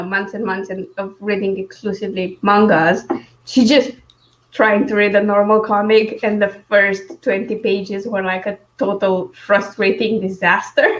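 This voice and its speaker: A woman's voice: mid-range voice, fairly bright tone, slight background noise. A woman chatting casually about books as a stream co-host, informal and hesitant, with a wry, self-deprecating manner. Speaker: co-host of a book discussion stream. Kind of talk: casual unscripted book chat. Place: indoors on a live video stream. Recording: dull lo-fi online call audio.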